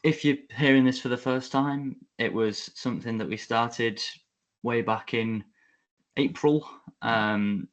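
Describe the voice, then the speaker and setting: A man co-hosting a podcast interview, chatting in a relaxed, self-deprecating way. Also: medium pitch, measured pace, lo-fi sound. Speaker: podcast co-host. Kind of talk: casual interview.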